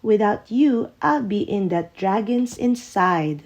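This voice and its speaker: A woman voicing a friendly, excitable witch in a storybook, expressive and exclamatory. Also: mid-range voice, slow. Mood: grateful.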